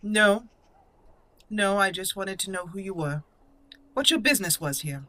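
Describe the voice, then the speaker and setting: A woman's voice: medium pitch, lively intonation, measured pace, bright, forceful tone. A woman narrating and roleplaying her way through a fantasy video game as a channel host. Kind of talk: roleplay game playthrough commentary. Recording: clear background noise. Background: game ambience.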